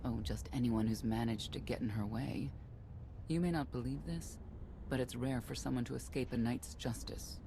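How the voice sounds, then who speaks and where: An actress playing a regretful middle-aged mother and stern veteran knight, speaking in measured, solemn phrases in a video game cutscene. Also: extremely low pitch, noisy background. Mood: guarded, matter-of-fact. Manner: measured, solemn, deliberate storytelling in short phrases.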